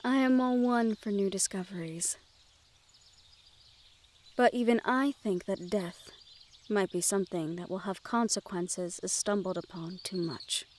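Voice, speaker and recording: A woman acts out a young noblewoman of a fantasy realm, gentle and curious, in an audio roleplay. Her voice sits at a medium pitch. She addresses the listener in formal, measured sentences, with birdsong and garden ambience behind her. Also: natural voice. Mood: reflective. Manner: formal, measured phrasing with few contractions. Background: outdoor garden ambience with birdsong.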